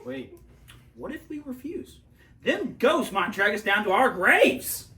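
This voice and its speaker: A woman's voice: mid-range voice, wildly swooping pitch, takes it slowly, sharp tone, exaggerated voice. A teenage girl playing a jumpy, dim, easily cowed robber henchman, melodramatic, in a student reader's theater performance. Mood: nervous, persuaded. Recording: noisy live room recording.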